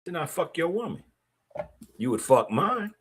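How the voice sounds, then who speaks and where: A man's voice: fairly high pitch, very animated, normal speed, fairly bright tone, a little hiss or hum. A middle-aged man, hosting a podcast and speaking bluntly in a streetwise, opinionated way. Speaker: podcast host. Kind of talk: casual monologue podcast.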